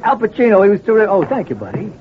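Wildly swooping, cocky radio crook. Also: male voice, high voice, big pitch swings, noisy background. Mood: cocky, conspiring. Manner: slangy, fast-scheming wise-guy talk. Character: cocky, scheming small-time crook coaching his accomplice. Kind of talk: old-time radio crime drama acting. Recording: dull vintage radio recording with music.

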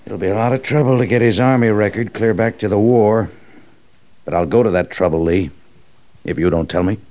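An actor playing a commanding senior army major in a radio Western, authoritative and questioning. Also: male voice, on the low side, a little fast, a little mellow, natural voice. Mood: insistent. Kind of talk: old-time Western radio drama acting. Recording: dull, band-limited old radio recording.

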